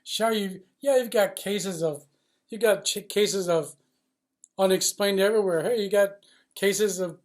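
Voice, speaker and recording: A man with a notably high voice speaks off the cuff in a blunt, riled-up monologue. He hammers his points with repeated phrases and coarse language, halting now and then as he searches for the next words. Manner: blunt, emphatic, profane, riled up. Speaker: loudspeaker supply industry commentator based in China. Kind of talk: unscripted opinionated monologue.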